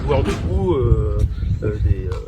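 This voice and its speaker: Man in his forties, halting speech, distant mic, loud street traffic behind. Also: medium pitch, big pitch swings. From France. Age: 40s. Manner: hesitant, many fillers and restarts. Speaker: interview guest, wheelchair tennis player and coach. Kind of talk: casual interview answers.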